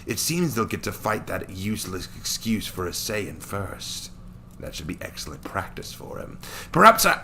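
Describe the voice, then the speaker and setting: Man voicing a sneering low-pitched alien warrior villain. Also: big pitch swings, pushed and bright, slight background noise, full character voice. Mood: smug, wary. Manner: contemptuous, drawn-out muttering. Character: sneering, arrogant male Saiyan warrior villain.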